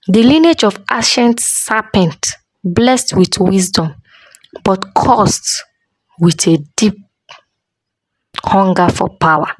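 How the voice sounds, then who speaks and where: Slow, expressive woman storyteller, close dry sound. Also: mid-range voice, no room sound, low-quality recording. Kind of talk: scripted folktale narration.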